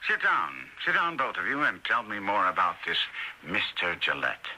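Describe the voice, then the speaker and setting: An actor playing an imperious, pompous detective in a radio mystery drama, speaking with theatrical precision. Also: male voice, on the low side, very animated, normal speed, sharp tone, slightly rough, full character voice, poor audio. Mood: brisk, intrigued. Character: imperious eccentric detective, brisk, self-assured older man. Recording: radio drama broadcast sound.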